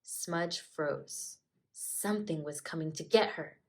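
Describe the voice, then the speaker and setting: A woman teacher reading a children's storybook aloud with expressive narration. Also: low voice, slow pace.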